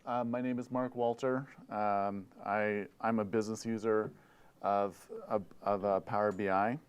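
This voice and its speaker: A man's voice: lowish voice, flat delivery, a little slow, smooth, dull audio. A middle-aged man from Hawaii giving a presentation at a conference, speaking with many fillers and repeated words into a lapel mic. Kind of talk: presentation talk. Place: conference room, indoors.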